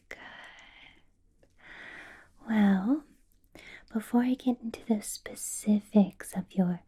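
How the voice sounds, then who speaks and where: A seductive female vampire boss, played by a woman for an ASMR roleplay, speaks in a very breathy, husky whisper. She is possessive and politely menacing, drawing her words out extremely slowly with coaxing pauses. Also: medium pitch, dark tone. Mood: doting, sly. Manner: slow, deliberate, coaxing, with lingering pauses. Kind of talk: ASMR roleplay, personal attention.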